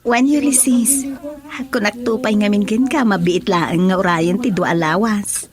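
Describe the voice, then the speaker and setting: A woman's voice: mid-range voice, a little slow, lightly acted. A woman playing a sweet young student in love in an Ilocano radio drama. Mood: affectionate.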